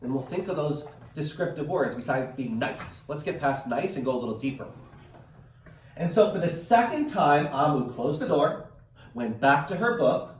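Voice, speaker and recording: A man reads a children's picture book in a very echoey room, a little off the microphone. His pitch is lively and fairly high, with dramatic stretched words and asides for young listeners and parents. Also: recorded from a distance, slight background noise. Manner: dramatic, drawn-out word emphasis. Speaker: read-aloud storyteller.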